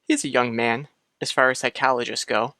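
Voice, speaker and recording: An actor playing a courteous, reassuring doctor in an old radio soap, with precise, matter-of-fact delivery. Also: male voice, mid-range voice, fairly even intonation, a little fast, pushed and bright, no echo, clean studio sound. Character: reassuring, courteous doctor, trusted family friend. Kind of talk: mid-century radio soap acting.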